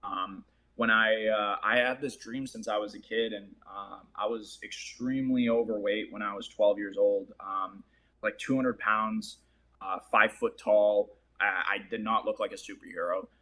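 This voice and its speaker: Bright-voiced man, rambling guest. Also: lowish voice, fairly even intonation, a little slow, poor audio. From Boston area, USA. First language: Portuguese. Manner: rambling, filler-heavy, laughs often. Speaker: actor, interview guest. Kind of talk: casual interview chat.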